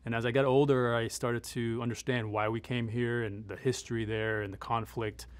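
A middle-aged man speaking as a military advisor in an interview, explaining things in a conversational, measured, matter-of-fact way. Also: medium pitch, level tone. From United States, born in Afghanistan. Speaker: military advisor and former Green Beret.

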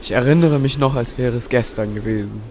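A man's voice: medium pitch, fairly quick, background noise, close to an everyday voice. A man playing an easygoing, naive male pony sidekick in an audio drama.

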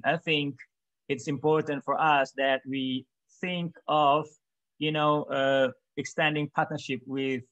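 A middle-aged man giving an academic webinar talk over a video call, measured, with many "for example"s and pauses. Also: fairly high pitch, a bit bright, no room sound. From Indonesia. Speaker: webinar speaker.

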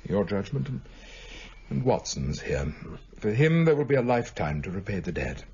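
An actor playing a cerebral, grave British gentleman detective in a radio drama, speaking formally and articulately. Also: on the low side, big pitch swings, moderate pace, husky, dull audio, heavily acted. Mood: earnest.

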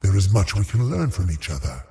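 Creaky, cruel undead sorcerer. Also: male voice, low voice, very animated, warm tone. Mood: smug, scheming. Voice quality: gravelly, menacing. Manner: theatrical, imperious, drawn-out delivery. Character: undead male sorcerer, haughty, cruel, relishing death. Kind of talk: fantasy video game voice lines. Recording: long cavernous reverb.

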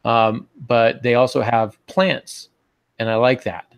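American man, 40s, gravelly fry, middle pitch, casual podcast host, video call. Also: steady tone, takes it slowly. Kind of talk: casual instructional podcast talk. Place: small home office, indoors. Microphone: earbud headset on video call.

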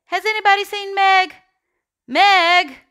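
A woman's voice: very high-pitched, steady tone, takes it slowly, bright, forceful tone, pitched above the performer's own voice, full character voice. A woman voicing an anxious, bossy egg with a dramatic, exclaiming manner in a storybook read-aloud. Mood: counting, alarmed. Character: Shell, anxious bossy egg rallying missing friends.

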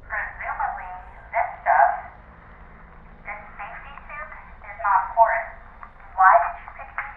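A woman's voice: mid-range voice, measured pace. A woman playing a sardonic surgeon in a radio drama, her voice put through a radio filter. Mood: skeptical, uneasy. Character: sardonic woman surgeon in a safety suit aboard a helicopter. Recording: tinny radio sound, echoey, noisy.

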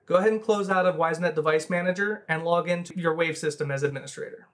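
Male tutorial presenter, thirties, slightly high voice, methodical step-by-step scripted instruction. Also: quick pace.

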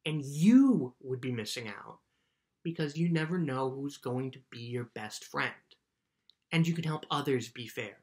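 A man's voice: medium pitch, expressive, measured pace. A man in his thirties reading a children's book as a patient parent, plainly explaining things. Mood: patient, earnest. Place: living room indoors.

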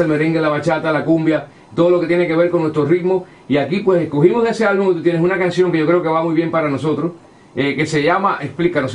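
A man hosting a radio interview on a tropical music station, fluent and upbeat. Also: fairly high pitch. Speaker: radio host.

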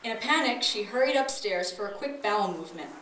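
An echoey, distant recording of a woman reading a comic story aloud on stage. She narrates in a storybook manner at a medium pitch. Faint noise sits behind her in the open pavilion. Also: animated, quick pace, a bit bright. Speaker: author reading own work aloud. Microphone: distant audience camera. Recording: echoey pavilion, distant noisy camera audio.

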